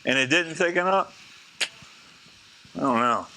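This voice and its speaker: A man, a chef, teaching a live cooking demonstration in a casual, chatty way, slightly hoarse as he is losing his voice. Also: fairly high pitch, animated, unhurried, pushed and bright, slight background noise, rough recording. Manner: casual, chatty, talks to the class.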